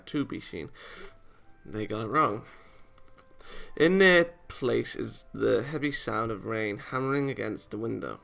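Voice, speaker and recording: A man reading a visual novel aloud as a let's-play narrator, expressive and theatrical, with game music behind him. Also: medium pitch, creaky.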